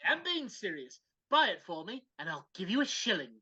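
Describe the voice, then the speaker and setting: A woman's voice: medium pitch, sing-song, normal speed, bright, forceful tone, husky, exaggerated voice. A woman playing an old reformed miser in an impassioned, theatrical live dramatic reading over a video call. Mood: overjoyed. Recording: dull video call audio.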